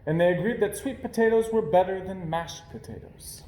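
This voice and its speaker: A man reading a children's picture book aloud with animated, dramatic emphasis. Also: high-pitched, moderate pace, reverberant, a little hiss or hum. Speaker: storybook reader.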